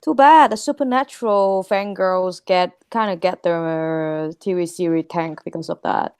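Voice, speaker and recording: A woman's voice: on the low side, moderate pace, darkish tone, clean tone, no room sound, studio quality. A woman chatting casually on a livestream, blunt and teasing toward the others. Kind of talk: casual group chat on a livestream.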